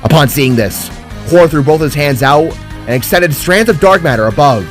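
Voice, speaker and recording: A man's voice: on the high side, expressive, moderate pace. A man reading scripted fantasy narration in a dramatic storytelling style. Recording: music behind the voice. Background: music.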